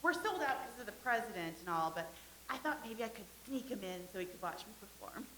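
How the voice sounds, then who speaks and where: A gushing, naive young actress, played by a woman, talks about herself with giggles. Her voice is high and bright, and the room rings with echo. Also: lively intonation, brisk, slight background noise. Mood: dreamy. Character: vain, starry-eyed young stage actress, woman. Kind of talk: staged play reading. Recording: echoey room.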